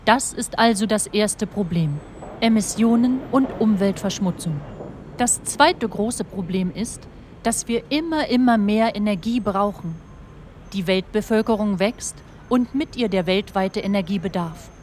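A woman voices a matter-of-fact explainer in an educational audio play. Her voice is medium-pitched, with faint vehicle noise behind it. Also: unhurried, darkish tone, natural voice. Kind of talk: scripted educational narration.

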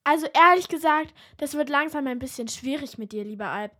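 A woman's voice: high-pitched, fairly quick, bright, forceful tone. A woman co-hosting a scripted children's radio show, asking cheeky, teasing questions. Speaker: co-host.

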